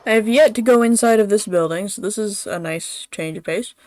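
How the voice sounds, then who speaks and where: A man's voice: high-pitched, a little fast, darkish tone. A man streaming a video game, giving casual live commentary.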